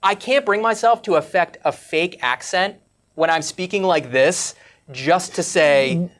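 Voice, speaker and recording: A man co-hosting a podcast, chatting casually and joking, quick to laugh. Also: high voice, fairly bright tone. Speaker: podcast co-host. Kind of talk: casual chat.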